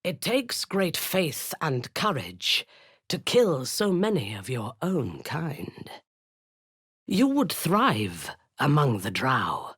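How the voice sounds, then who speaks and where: A low, husky female dark elf commander from a fantasy video game. She is zealous and cruel, giving orders with slow, deliberate menace. Her delivery swings widely in pitch, with a seductive edge. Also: wildly swooping pitch, no room sound, studio quality, exaggerated voice. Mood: imperious.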